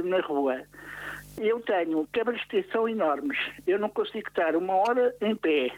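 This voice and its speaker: An older man from Lisbon, speaking with a European Portuguese accent, calls a radio show and speaks in a halting, earnest way with many hesitations. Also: sits high. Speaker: radio caller. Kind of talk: call-in radio complaint. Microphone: telephone. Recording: phone line.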